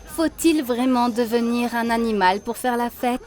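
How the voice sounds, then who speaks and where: A woman's voice: on the high side, normal speed, darkish tone, soft background music, close to an everyday voice. A woman playing a happy, earnest young bride in a cartoon, speaking sincerely to her friends. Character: happy, earnest young bride who dislikes drunken revelry. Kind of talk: cartoon dialogue, French dub.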